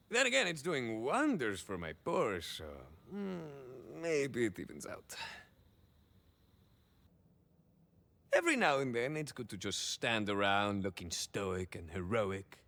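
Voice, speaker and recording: A man's voice: medium pitch, creaky, fairly bright tone, heavily acted. An actor playing a cocky, rambling cyborg ex-soldier in a video game, with a Mexican-American accent and bits of Spanish mixed into his hesitant, theatrical talk. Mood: vain, playful. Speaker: playable game character. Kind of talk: video game idle monologue acting.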